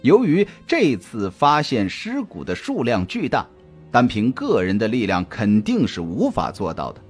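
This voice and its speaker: Husky guy reading a thriller audiobook, pitch swings all over, music playing underneath. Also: wildly swooping pitch, unhurried. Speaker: audiobook narrator. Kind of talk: dramatic scripted suspense narration. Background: background music.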